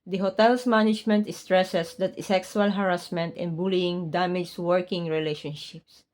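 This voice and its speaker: A woman with a Filipino accent and a somewhat low voice talks to her viewers in a relaxed vlog manner. When she reads an English document aloud, she goes slowly and carefully, with small slips along the way, since English is not her first language. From Philippines. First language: Tagalog. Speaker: vlogger, hotel housekeeper. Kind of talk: casual vlog, then reading a document aloud.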